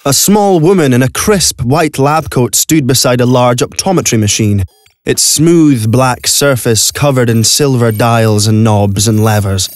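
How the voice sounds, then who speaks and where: A man's voice: mid-range voice, measured pace, no echo. A man narrating a scripted audio drama as a storyteller. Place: recording studio. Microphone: close studio microphone.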